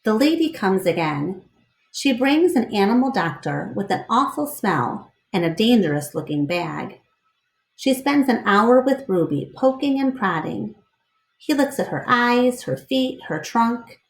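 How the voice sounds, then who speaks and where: Female storyteller for children's book read-aloud: unhurried, varied intonation, roomy echoing recording. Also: on the high side, very animated, vocal fry. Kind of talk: expressive children's book narration.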